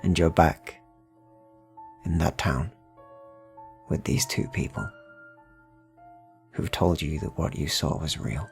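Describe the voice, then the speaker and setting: Very deep, gravelly male game master. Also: faint music behind. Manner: deliberate, ominous, pausing. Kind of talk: slow dramatic second-person dark fantasy storytelling.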